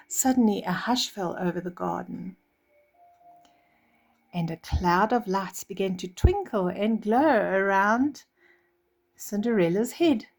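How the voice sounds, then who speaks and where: Older lady doing a kids bedtime story, explains stuff simply, medium pitch. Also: a little mellow. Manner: explains words simply to young children. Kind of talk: children's storybook reading.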